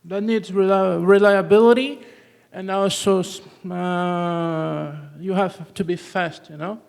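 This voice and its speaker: A man's voice: high-pitched, fairly even intonation, gravelly, darkish tone, clear, resonant tone. A man from Brazil, a native Portuguese speaker with a Brazilian accent, giving a technical conference talk, often breaking off and restarting words and tagging lines with "okay". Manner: halting, restarts words, tags sentences with "okay". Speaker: conference presenter. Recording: hall reverb.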